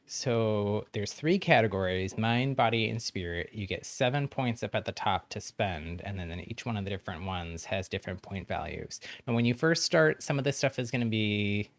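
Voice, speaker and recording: A man's voice: fairly low pitch, animated, moderate pace, hardly any pauses. A man chatting casually on a game livestream, explaining things to newcomers in a friendly, encouraging way with frequent chuckles. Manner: chuckles often while talking. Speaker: game streamer.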